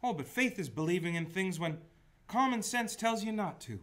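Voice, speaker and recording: Actor as earnest young-at-heart attorney for radio drama: high-pitched, swooping, emphatic, idealistic. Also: male voice, expressive, normal speed, a bit bright. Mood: impassioned. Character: idealistic, eager male lawyer full of faith. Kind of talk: stage-like radio play acting.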